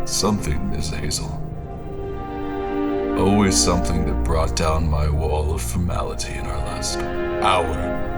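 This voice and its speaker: An actor playing a solemn, haunted scholar-explorer who narrates his deadly quest in a brooding, deliberate way. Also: sits low. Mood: wistful, grieving. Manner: brooding, deliberate, with dramatic pauses. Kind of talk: dramatic first-person audio fiction narration. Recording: music behind the voice.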